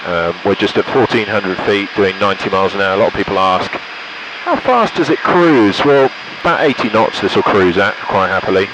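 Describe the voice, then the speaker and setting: A man's voice: on the low side, expressive, a little fast, background noise. A man talking as a pilot, mixing easygoing, jokey casual chat with cockpit radio calls and commentary. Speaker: pilot and vlogger. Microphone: headset. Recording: engine drone, radio headset in small plane.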